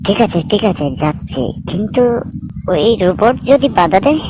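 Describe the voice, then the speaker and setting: A woman's voice: mid-range voice, sing-song, normal speed, noisy background, natural voice. A woman voicing a timid, reluctant cartoon wizard henchman with a hesitant, worried way of talking. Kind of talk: cartoon voice acting. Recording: music behind the voice. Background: music.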